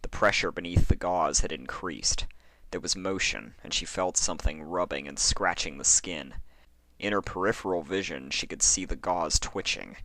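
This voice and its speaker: A man narrating a scripted horror story in a theatrical, expressive way. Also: low voice, no echo. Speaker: fan fiction narrator.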